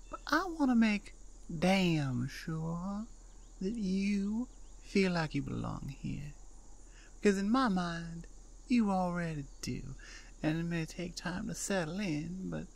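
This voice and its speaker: A woman voicing a gentle cow-woman farmer with a Southern US accent, talking softly and slowly in an ASMR roleplay. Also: lowish voice, creaky, full character voice. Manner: soft, slow, soothing drawl.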